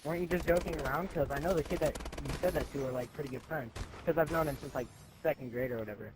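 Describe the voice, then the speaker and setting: A high-voiced teenage boy spins a casual story for his friends. He talks in slang and acts out each side of the conversation. The recording is noisy, from a gaming chat mic with game gunfire in the background. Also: quick pace. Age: teenager, high school student. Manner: animated, acts out both sides of conversations, slangy. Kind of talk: casual anecdote telling among friends.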